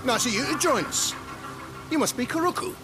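A man's voice: extremely high pitch, animated, brisk. A male actor playing a boisterous, cheeky, good-natured samurai leader in a video game cutscene. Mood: jovial, confident. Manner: exuberant, chummy, theatrical. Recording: loud music behind the voice.

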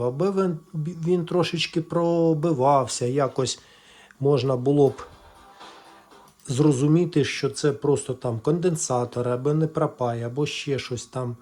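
A man casually walking viewers through a hands-on electronics repair, explaining haltingly as he thinks aloud. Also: a little high, a little mellow. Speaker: electronics repair video presenter.

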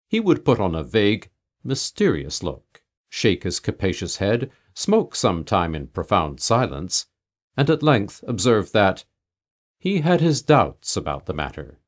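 A man reading a humorous old literary tale aloud as an audiobook narrator, in measured, mock-solemn storytelling. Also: on the low side. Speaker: audiobook reader.

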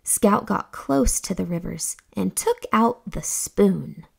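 A young woman hosting a children's read-aloud, reading a storybook in an expressive, deliberate, exclamatory way. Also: medium pitch, gravelly, slightly rough.

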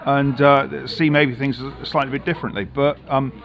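A man's voice: mid-range voice, level tone, normal speed, gravelly, a bit bright. A man hosting club TV, asking post-match questions in a chatty way with hesitant fillers. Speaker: club TV host.

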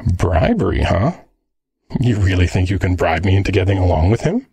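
A man's voice: low-pitched, very animated, moderate pace, vocal fry, mellow, husky, no echo, heavily acted. A man playing a teasing, jealous, slangy android boyfriend in an ASMR roleplay. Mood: jealous, sulky. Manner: cheeky, slangy, sardonic.

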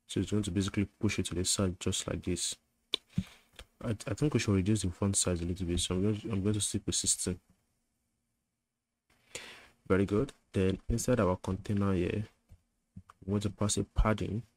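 Low, flat-voiced man walking through code. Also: moderate pace, long pauses. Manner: talks while typing, thinks aloud with fillers and self-corrections. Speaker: coding tutor. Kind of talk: screen-recorded coding tutorial.

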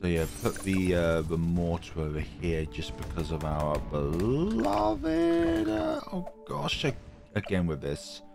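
Deep-voiced gaming host with a cold, croaky, chatty self-mocking commentary over faint music. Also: male voice, big pitch swings, slow. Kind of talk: casual gameplay commentary.

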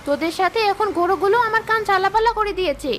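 A woman's voice: very high-pitched, fast talker, heavy background noise. A woman voicing a bossy, scolding female ghost schoolteacher in a children's cartoon. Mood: exasperated. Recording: faint cartoon music behind.

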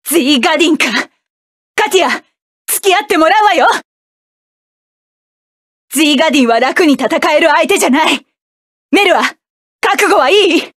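A fierce young mech pilot, barking battle cries and curt orders in a high, bright voice. The sound is dry and close, with no room around it. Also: female voice, lively intonation, normal speed, long pauses, no room sound. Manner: forceful battle shouts and clipped commands. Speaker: voice actress. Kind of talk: game voice clips.

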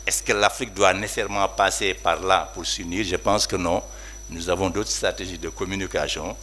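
A man speaking at a conference, weighing his points in a measured, reflective way. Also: sits low, fairly even intonation, pushed and bright, slight background noise. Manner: measured, reflective, hedging remarks. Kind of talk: academic talk.

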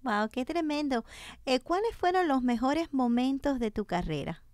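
A woman's voice: sits high, lively intonation, normal speed, a little mellow, dry recording. A Cuban woman hosting a radio interview in a studio, asking brief attentive questions into a studio mic. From Cuba. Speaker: radio show host. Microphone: studio microphone with headphones.

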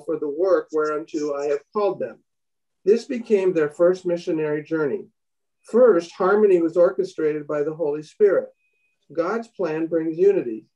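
A man in his fifties leading a bible study on a video call, reading aloud from a book with halting restarts. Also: on the high side, dark tone, distant mic, dull audio. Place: home room indoors. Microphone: laptop webcam on a video call.

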